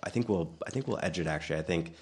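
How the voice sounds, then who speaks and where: A deep-voiced man talks quickly on a football podcast. He lays out his predictions in a calm, measured way. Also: slight background noise. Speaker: podcast panelist. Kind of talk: casual football podcast chat.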